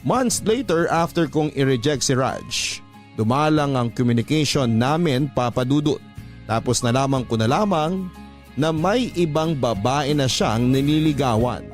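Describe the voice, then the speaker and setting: A man's voice: mid-range voice, expressive, unhurried. A man narrating a listener's love letter in the first person, in a confiding storytelling manner for a radio drama program. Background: faint music.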